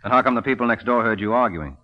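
An actor playing a cool, wry private detective in an old radio drama, asking clipped, sardonic questions. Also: male voice, mid-range voice, fast, long reverb tail, natural voice. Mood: probing. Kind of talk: 1940s-50s radio detective acting. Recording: muffled, noisy old radio recording.

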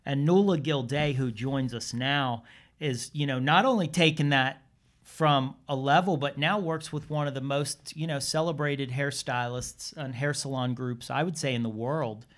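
A man's voice: mid-range voice, fairly bright tone. A man hosting a podcast, introducing a guest in a rambling, enthusiastic way full of fillers. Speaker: podcast host.

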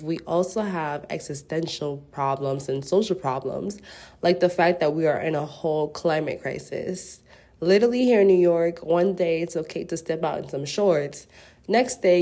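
A woman's voice: on the low side, mellow. A woman in her twenties hosting a casual solo podcast, playful and slangy, sounding congested from a cold. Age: 20s. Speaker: podcast host.

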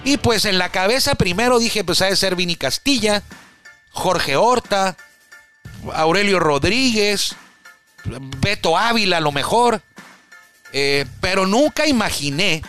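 A man's voice: high voice, lively intonation, measured pace, long pauses, bright, forceful tone, smooth, faint music behind. A man hosting a baseball talk show, chatting casually and correcting himself as he rambles through statistics. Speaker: baseball stats radio host.

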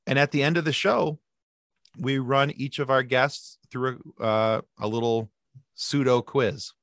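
A man guesting on a podcast, telling stories in a lively chatty way with quick asides and restarts. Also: mid-range voice, no echo, clean studio sound. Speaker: podcast guest, sci-fi podcast co-host. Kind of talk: casual interview chat.

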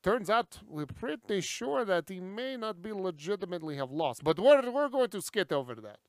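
A man's voice: high voice, very animated, moderate pace, dry recording. A man co-hosting a podcast, playing through an improvised comedy skit in a playful, mock-serious way. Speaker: podcast co-host.

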